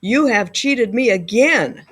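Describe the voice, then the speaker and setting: A woman's voice: fairly high pitch, animated, slow, fairly bright tone, slightly rough, full character voice. A woman voicing a big, sleepy male bear in a children's storybook, grumbling and sluggish. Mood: grumpy, indignant.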